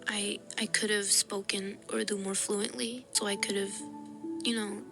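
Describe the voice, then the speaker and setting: A young adult woman telling stories to camera in a casual, animated way, with frequent laughs and fillers. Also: mid-range voice, measured pace, gravelly. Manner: animated and giggly, with many fillers. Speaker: vlogger. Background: background music.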